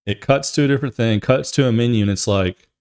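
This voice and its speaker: A man's voice: mid-range voice, fast, darkish tone, clear, resonant tone. A man hosting a gaming show, giving casual, opinionated commentary in a rambling, emphatic way and often repeating his phrases.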